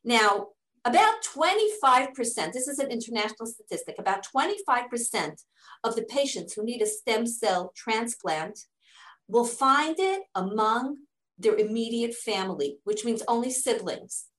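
A woman's voice: on the high side, expressive, moderate pace, fairly bright tone, roomy sound, low-quality recording. A middle-aged woman, a native English speaker living in Israel, explaining her charity's work in an interview with earnest, step-by-step answers and frequent restarts. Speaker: charity fundraising director.

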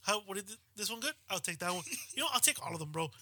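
A man's voice: sits high, animated, very fast, bright, forceful tone. A man co-hosting a podcast in casual banter, laughing often, swearing, and acting out the people in his stories. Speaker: podcast co-host.

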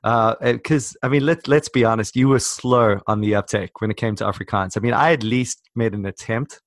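A man's voice: medium pitch, brisk, no echo, clean studio sound. A South African-born man with an American-sounding accent, co-hosting a casual chat and rambling with lots of fillers and teasing. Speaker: podcast co-host.

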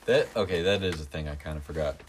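Low-voiced young man telling a story. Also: slight background noise. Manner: relaxed, drawn-out telling with many "like" fillers. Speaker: guest. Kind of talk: casual storytelling.

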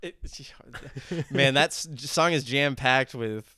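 A man's voice: medium pitch, slow, sharp tone. A young man, a musician guest on a podcast, who chats casually in a rambling, laid-back way and laughs often. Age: young adult.

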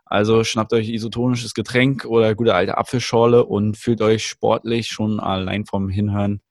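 A man with a low voice hosts a podcast in a dry, close-miked sound. He jokes and teases playfully as he introduces a guest. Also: level tone, moderate pace, no room sound. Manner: jokey, playful. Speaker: podcast host. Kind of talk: casual podcast chat.

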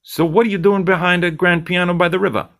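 A man's voice: high-pitched, steady tone, quick pace, fairly bright tone, pitched above the performer's own voice. A man voicing a slick, blunt male bartender who talks in a teasing, lecturing way.

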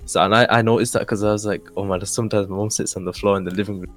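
Young male podcast co-host, deep voice, informal chat, faint music bed. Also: steady tone, racing.

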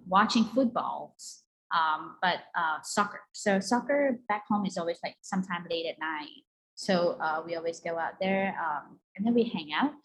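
A woman's voice: lowish voice, rough recording. A woman from Malaysia answering interview questions casually as a guest, chatty and often saying "like". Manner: chatty, peppers speech with "like". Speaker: guest, coffee company co-owner. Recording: clear background noise.

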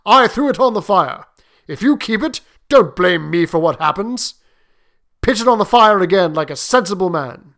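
A man voicing a grave, world-weary middle-aged sergeant major who speaks solemnly and gruffly in a storybook reading. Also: high voice, expressive, moderate pace, a bit bright, voice pushed up. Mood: stern warning.

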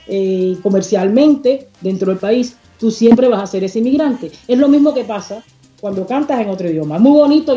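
Cuban lady singer talking passionately, highish mellow voice, kinda muffled sound. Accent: Cuban Spanish. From Cuba. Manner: passionate, emphatic, searches for words. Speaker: singer guest. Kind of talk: interview answers.

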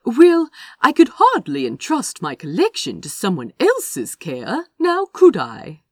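A woman's voice: fairly high pitch, huge pitch swings, slow, dry recording, studio quality, pitched above the performer's own voice, exaggerated voice. A woman voicing a genteel, fussy, affectionate prince with prim, precise diction, as in a storybook. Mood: prim, indignant.

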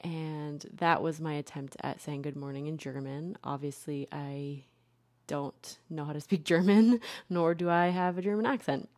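A woman's voice: sits low, measured pace, darkish tone, dry recording. A young woman hosting her own podcast, talking casually and a bit self-deprecatingly, with lots of fillers and restarts. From Connecticut. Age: young adult. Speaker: podcast host, therapist. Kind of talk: casual solo podcast talk.

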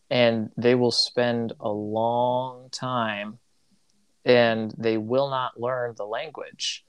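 Man raised in Tanzania, missionary; reflective, self-correcting delivery, average pitch, dry close recording. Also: smooth, dry recording. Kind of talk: casual conversation.

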